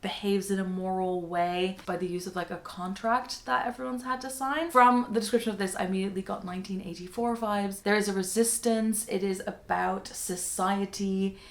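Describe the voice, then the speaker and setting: Young woman, from the Netherlands, native Dutch speaker, medium pitch, informal chatty delivery, casual book vlog host. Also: normal speed, few pauses. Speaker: book reviewer and video host.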